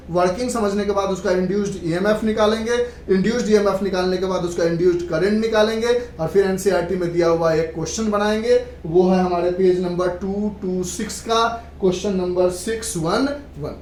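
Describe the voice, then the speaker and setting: A woman's voice: mid-range voice, fairly quick, hardly any pauses, darkish tone. A woman teaching physics in an instructive lecture style, repeating points and mixing in English terms. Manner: instructive, repeats and checks understanding. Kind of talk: classroom lecture. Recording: echoey room, distant mic, background noise.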